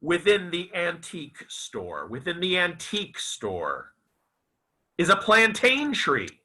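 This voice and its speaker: A man reciting his own literary fiction as its author, in a theatrical, deliberate and emphatic manner. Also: high-pitched, wildly swooping pitch, bright, forceful tone. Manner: dramatic, deliberate, emphatic with repeated phrases. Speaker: author reading own novel aloud.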